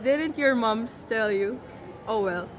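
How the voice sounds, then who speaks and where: Woman as a high-voiced doctor in noisy recording. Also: slow. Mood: mildly exasperated. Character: teasing, slightly reluctant doctor explaining to children. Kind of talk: amateur puppet show acting. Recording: noisy amateur room recording.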